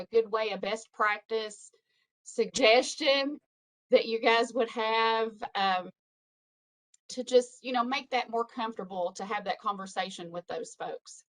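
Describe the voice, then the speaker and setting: A woman's voice: fairly high pitch, rough recording. A woman from the United States calling in to ask an informal question. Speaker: caller asking a question.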